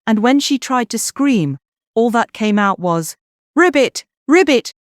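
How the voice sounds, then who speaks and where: Expressive woman reading a bedtime story. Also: on the high side, unhurried, smooth, no room sound, studio quality. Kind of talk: scripted bedtime story narration.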